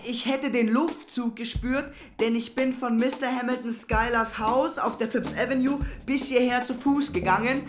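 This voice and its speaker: Formal, naive young gentleman, music behind. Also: very high-pitched, moderate pace, few pauses. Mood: stiffly formal. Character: naive young man play-acting a gentleman with lost glass eye. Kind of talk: German radio play acting.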